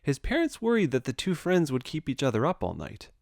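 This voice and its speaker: A man narrates a children's picture book in an expressive read-aloud style. His pitch rises and falls in a lively way, and the recording is close and dry. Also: a little fast, gravelly, dry recording. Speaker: storybook reader.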